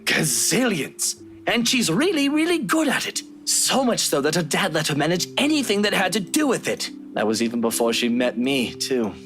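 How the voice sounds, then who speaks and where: Woman putting on a smug, low-pitched man's voice. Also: big pitch swings, moderate pace, fairly bright tone, soft background music. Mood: tender, earnest. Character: obnoxious but tender, smug adult man.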